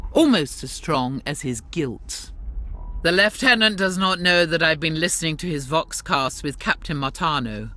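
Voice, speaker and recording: An actress playing a stern, devout warrior sister in a video game, speaking formally and gravely with suspicion and zeal. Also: female voice, on the low side, fairly bright tone. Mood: solemn resolve. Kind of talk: dramatic video game acting.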